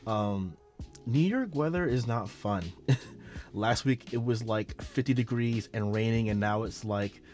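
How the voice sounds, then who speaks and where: A man's voice: medium pitch, a little slow, darkish tone, soft background music. A man with a bit of a nasal, sick-sounding voice chatting casually to his viewers in a vlog intro, upbeat and full of filler words, speaking into a small wireless mic. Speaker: photography vlogger. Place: indoors at home. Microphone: small wireless mic clipped to his hat.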